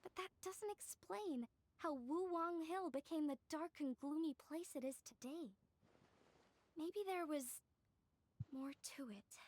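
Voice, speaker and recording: A woman voicing a friendly young street-smart artisan in a video game, expressive and explanatory. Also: sits high, unhurried. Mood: pensive. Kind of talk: video game dialogue acting.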